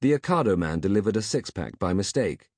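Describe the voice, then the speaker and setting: Audiobook robot servant, acted by a man: raised pitch, formal, patient, faintly chirping, loyal to a fault. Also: very animated, a little fast, darkish tone, no echo, studio quality, exaggerated voice. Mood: patient reasoning. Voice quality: metallic chirping tone. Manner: polite, deferential, unflappably patient. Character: faithful, patient, formal male robotic butler.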